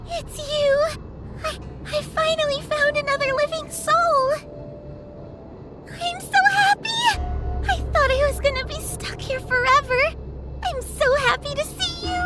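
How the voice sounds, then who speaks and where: A woman voicing a timid, tearful young girl in a video game, whiny and pleading. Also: very high voice. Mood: tearful relief. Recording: loud music behind the voice.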